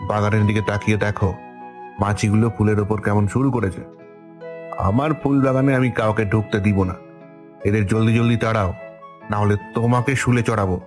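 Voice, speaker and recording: A man's voice: on the low side, steady tone, moderate pace, pauses often, music in the background, natural voice. A man playing a despotic, hot-tempered village headman in a cartoon, with a commanding, blustering way of talking. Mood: annoyed. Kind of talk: Bengali cartoon voice acting.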